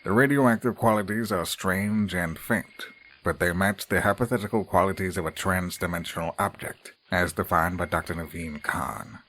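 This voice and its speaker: A man voicing a polite scientist who explains things in a calm, measured way. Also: low-pitched.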